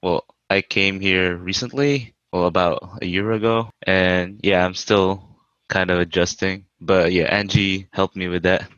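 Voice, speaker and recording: A man's voice: deep voice, steady tone, unhurried, fairly bright tone, dry recording. A young man from the Philippines, a podcast guest giving hesitant, short casual answers. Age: young adult. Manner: hesitant, short replies, laughs often. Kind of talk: casual chat. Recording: dull dry sound.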